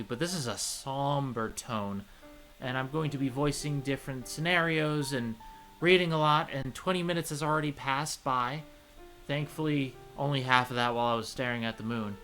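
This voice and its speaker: A man streaming a visual novel, reading its story aloud and reacting in a chatty, expressive way. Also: mid-range voice. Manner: chatty, expressive, swears casually. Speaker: let's play streamer.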